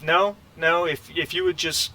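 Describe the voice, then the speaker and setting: A man's voice: fairly high pitch, fairly even intonation, measured pace, bright, forceful tone, pitched above the performer's own voice. A man playing a brusque, dismissive station administrator in a tabletop game, curt and officious.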